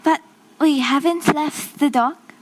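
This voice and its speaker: A woman's voice: high voice, slow, low-quality recording. A young actress playing a young woman putting on a clumsy fake French accent, flustered and hesitant. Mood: flustered pretending. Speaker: child actor in a musical.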